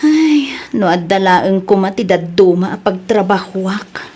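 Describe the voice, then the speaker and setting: Actress for meek female trainee, Filipino accent, slow plaintive delivery, breathy voice, audio drama. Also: medium pitch, a little mellow. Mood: hurt, plaintive. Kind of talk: acted audio comedy-drama dialogue.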